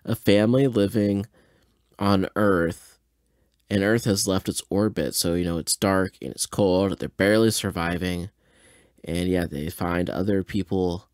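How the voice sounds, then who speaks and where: A man's voice: low-pitched, steady tone, unhurried, darkish tone, clear, resonant tone, no room sound, clean studio sound. A mildly tipsy man hosting a podcast, rambling casually and hesitantly as he thinks aloud in a laid-back way. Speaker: podcast host. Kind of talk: casual rambling audio reaction and commentary.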